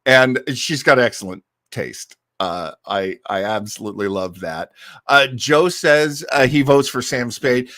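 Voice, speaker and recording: A man's voice: medium pitch, lively intonation, unhurried, a bit bright, no room sound, clean studio sound. An American man hosting a casual livestream, chatting to listeners in an enthusiastic, rambling, conversational way. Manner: enthusiastic, effusive about favourites. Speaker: host of an old-time radio listening livestream.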